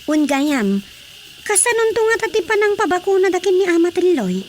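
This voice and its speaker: A woman playing an expressive, gossipy wife in a radio drama comedy, with an Ilocano accent and an exclaiming delivery. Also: very high voice, moderate pace, a little hiss or hum. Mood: animated gossip. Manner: animated, exclaiming.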